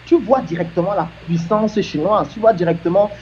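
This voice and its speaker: A man with a fairly high, mellow voice argues his point earnestly, stacking example on example. The sound is a bit muffled, with a faint hiss underneath. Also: normal speed. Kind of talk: argumentative discussion.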